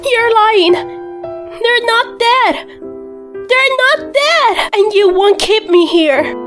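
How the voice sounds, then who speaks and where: A woman's voice: very high-pitched, animated, very slow, a bit bright, faint music behind, exaggerated voice. A woman voicing a distrustful, defiant young woman with magic powers, in an amateur audio drama. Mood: frantic. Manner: defiant, insistent.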